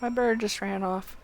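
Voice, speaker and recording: A woman's voice: on the high side, a little mellow. A woman game streamer giving casual live gameplay commentary in a chatty, self-deprecating way.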